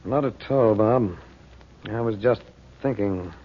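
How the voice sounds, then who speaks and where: A man playing a composed, commanding magician uncle in an old radio serial, with a measured, protective and authoritative way of speaking. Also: lowish voice, highs cut off. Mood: firm, controlled.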